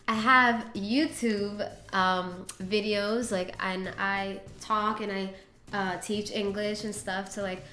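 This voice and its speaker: A woman's voice: mid-range voice, fairly even intonation, slow, sharp tone. Informal woman interviewer in an echoey room. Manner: informal, peppered with "like". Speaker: interviewer, host. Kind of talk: casual chat.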